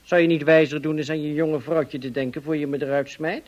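An actor in a radio play playing a smug, insinuating blackmailer with a mocking, drawling, falsely polite manner. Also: male voice, on the high side, fairly even intonation, fairly quick, lo-fi sound, close to an everyday voice. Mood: sly. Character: smug, insinuating male blackmailer, cousin of the dead woman.

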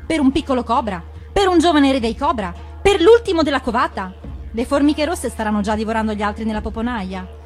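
Storybook mongoose heroine, played by a woman: brave, taunting, high-pitched, chanting her boasts. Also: lively intonation, a little fast, soft background music, close to an everyday voice. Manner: crowing, taunting, chanting her own name. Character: bold, taunting, triumphant young female mongoose warrior.

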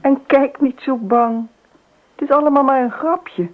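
A woman's voice: high-pitched, fairly even intonation, measured pace. Dreamy, unsettled woman, thin radio sound. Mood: falsely casual. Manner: murmurs asides to herself, often near whispering. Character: dreamy married woman, eerily detached murderess, increasingly panicked. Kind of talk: radio play acting. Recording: muffled phone-like old radio recording.

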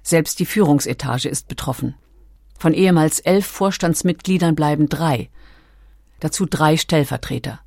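A woman reading scripted documentary narration in a measured, factual manner. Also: low voice, darkish tone, no echo, studio quality.

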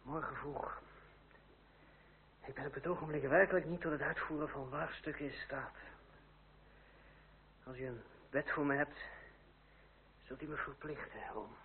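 An actor playing a proud, sharp-witted young republican gentleman in a period radio drama, with formal, sardonic, measured speech. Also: on the high side, steady tone. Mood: wry, resigned. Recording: old muffled radio play, hum, narrow band.

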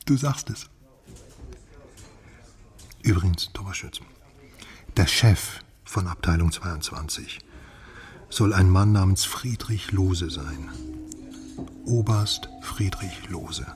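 Low-voiced wry journalist. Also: male voice, expressive, slow, long pauses, darkish tone, soft background music. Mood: conspiratorial. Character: wry, weary Western journalist, adult man, cautious informant. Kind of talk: naturalistic modern radio crime drama acting.

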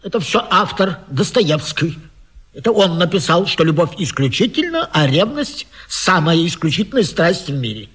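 An actor in a radio vaudeville playing a flustered, jealous 19th-century gentleman, stammering and agitated in a theatrical way. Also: sits high, very animated, normal speed, husky, full character voice. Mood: nervous, defensive.

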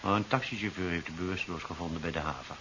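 An actor playing a brisk, firm hospital doctor with an air of authority in a 1970s radio drama. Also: male voice, deep voice, lightly acted. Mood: calming. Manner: firm, soothing to patient, impatient otherwise. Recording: muffled, hissy 1970s radio recording.

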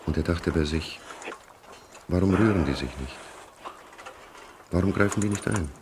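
A man's voice: sits low, moderate pace, background noise, natural voice. A man narrating a literary radio play about war, as a storyteller reading scripted prose. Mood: measured. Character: male storyteller of a young soldier's war.

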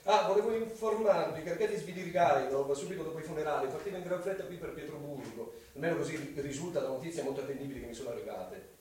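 An actor playing a pompous, easily offended suitor in a radio drama, with a formal, indignant manner. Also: male voice, on the high side, fast talker, darkish tone, slight background noise, natural voice. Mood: insinuating. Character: pompous, offended, arrogant middle-class suitor. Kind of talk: Italian radio drama acting. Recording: very echoey, distant, music underneath.

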